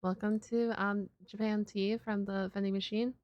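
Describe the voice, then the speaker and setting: A woman's voice: medium pitch, normal speed, no echo, highs cut off. A woman giving casual, chatty reaction commentary as a streamer, exclaiming and playfully repeating words. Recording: loud steady background noise.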